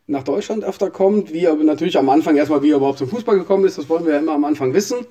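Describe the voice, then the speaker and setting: Warm-toned male interviewer. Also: on the high side. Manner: friendly, prompting, rephrases the guest's answers. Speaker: host, interviewer. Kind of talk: casual interview.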